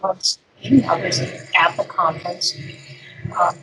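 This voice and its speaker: An older woman, a guest speaker, talking through an interview in an unhurried, rambling way with plenty of fillers. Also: lowish voice, background noise. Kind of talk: conversational interview.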